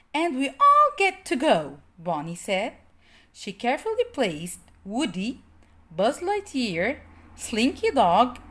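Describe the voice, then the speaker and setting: A woman reads a children's story aloud with hugely varied intonation. Her voice is high and bright, and she takes her time, talking straight to young listeners. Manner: expressive, addresses young listeners directly. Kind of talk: animated read-aloud for children.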